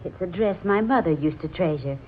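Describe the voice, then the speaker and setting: A woman's voice: lowish voice, lively intonation, brisk. An actress playing an anxious, wistful young woman with a hesitant, earnest way of talking in a 1940s radio drama. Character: anxious, wistful young woman attached to her family house. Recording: muffled, noisy 1940s radio recording.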